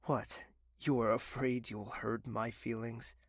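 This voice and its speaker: A man's voice: mid-range voice, little pitch movement, takes it slowly, no echo, clean studio sound. An actor playing a weary, bitter young man who narrates his own story and snaps into anger. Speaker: narrator and lead character.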